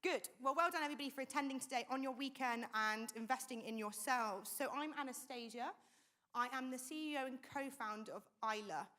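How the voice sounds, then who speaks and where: Female event host, slightly high voice, upbeat crowd-rallying manner. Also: fairly quick, sharp tone. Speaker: event host and panel moderator. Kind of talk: energetic stage hosting. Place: conference hall stage.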